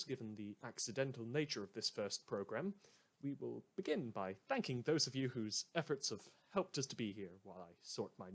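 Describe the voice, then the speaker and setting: A man playing a florid, drily wry radio host who reads scripted news with dramatic, deliberate emphasis. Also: medium pitch. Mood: grandly welcoming. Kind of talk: scripted fictional community radio news monologue.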